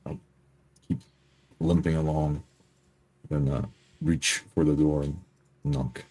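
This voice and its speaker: A man playing a tabletop roleplay, describing his character's actions haltingly and deliberately. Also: extremely low pitch, flat delivery, mellow.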